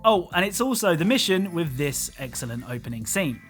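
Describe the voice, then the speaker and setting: A man delivers scripted gaming commentary with a touch of dry humour. His voice is on the high side and very animated, over a soft music bed. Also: brisk. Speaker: video game YouTuber, narrator.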